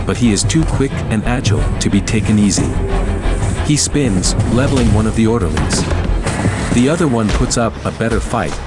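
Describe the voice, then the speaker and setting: Male narrator, lowish pitch, scripted audio drama storytelling, music behind.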